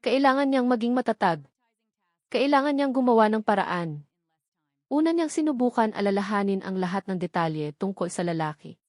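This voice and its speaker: A woman with a Filipino accent narrating a scripted dramatic story as an audiobook, in a deliberate, emphatic way with short dramatic phrases. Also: mid-range voice, expressive, darkish tone, dry recording, studio quality.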